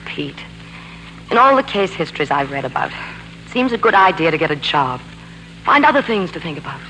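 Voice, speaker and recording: A guarded American career woman, sceptical and no-nonsense, with a bright, forceful voice and clipped delivery. The old radio drama recording has a clear bed of noise and hum. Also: low voice, sing-song, normal speed, heavily acted. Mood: dry, resisting. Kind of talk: 1940s radio acting. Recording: noisy, humming 1940s radio recording.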